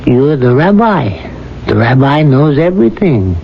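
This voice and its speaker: An actor in a 1970s radio drama playing a wry, self-mocking simple villager, plain-spoken. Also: male voice, medium pitch, big pitch swings, a little slow. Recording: muffled, hissy old radio recording.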